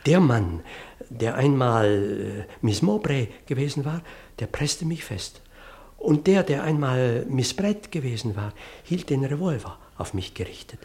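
A man's voice: medium pitch, expressive, a little mellow. An actor playing a flustered, pedantic parson who tells his dramatic story in in a radio play. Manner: fussy, dramatic, halting when agitated.

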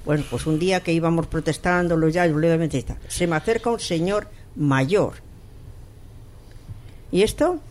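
A woman from Barcelona hosting a radio talk discussion, animated and telling stories with acted-out quotes. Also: deep voice, fairly quick, hardly any pauses. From Barcelona, Spain. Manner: animated storyteller, acts out quotes.

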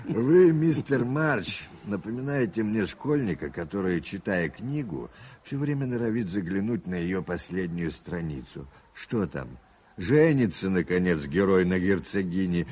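An actor playing a patient, gently teasing priest-detective, husky and wry, in a radio play. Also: male voice, fairly low pitch, expressive. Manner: unhurried, wry, musing. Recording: dull old radio recording.

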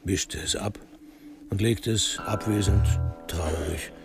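An actor as the dry, wry narrator of a radio crime drama, telling the story in a measured, literary way. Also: male voice, on the low side, creaky, music in the background.

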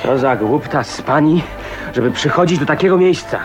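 An actor playing a gruff, chivalrous maverick in a radio drama, talking in a blunt, wry, colloquial way. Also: male voice, fairly high pitch, moderate pace, over music, natural voice. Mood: scolding. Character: gruff, casual, chivalrous maverick man, unbothered by reputation.

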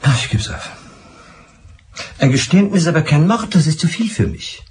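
Man acting a blunt, animated police inspector. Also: fairly high pitch, moderate pace, a little hiss or hum, natural voice. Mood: exasperated. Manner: curt, impatient. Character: blunt, exasperated male police inspector interrogating. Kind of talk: German radio drama acting.

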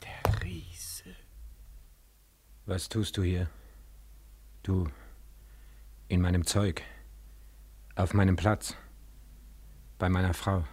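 An actor playing an accusing, ghostly one-legged giant in a 1940s radio play, solemn and menacing with a husky, hollow voice. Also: male voice, deep voice, steady tone, very slow, long pauses, a little mellow, heavily acted.

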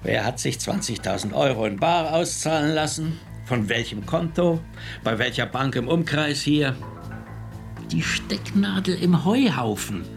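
A man's voice: fairly high pitch, expressive, a little slow, vocal fry, faint music behind. An actor playing a stern, sarcastic male police chief in a radio crime drama.

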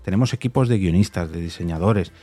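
A man's voice: sits low, fast talker, dark tone, soft background music. A man with an accent from Spain hosts a casual interview, commenting at length in a conversational way. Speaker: podcast host interviewing a guest.

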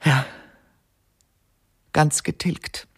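A woman playing a detached, weary widow giving testimony in a German radio crime comedy, halting and hesitant in her delivery. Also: no room sound, clean studio sound. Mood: hesitant, hushed. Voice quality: husky, creaky, low for a woman. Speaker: witness being questioned.

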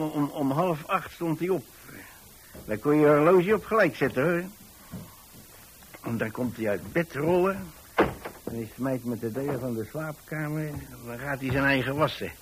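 A man's voice: medium pitch, a bit bright, husky, poor audio. An actor playing a chatty, easily flustered old pensioner who tells his story in a hesitant, rambling way, repeating words. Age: older, retired. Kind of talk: radio monologue storytelling. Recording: hissy old radio recording.

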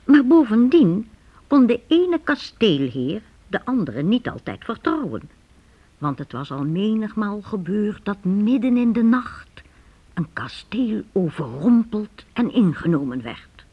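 A woman's voice: mid-range voice, sing-song, unhurried, slightly rough. A woman narrating a medieval radio drama as a wry storyteller, explaining things to the listener. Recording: dull old radio recording.

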